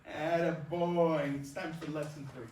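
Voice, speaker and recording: A man's voice: fairly high pitch, steady tone, measured pace, a little mellow, natural voice. A man on stage playing a cocky, crude veteran baseball star who brashly and slyly schools a rookie in slangy tough-guy talk. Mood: swaggering, coaching. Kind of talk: staged reading of a play, theatrical dialogue. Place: indoor performance space, recorded from afar. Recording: roomy distant stage recording, background noise.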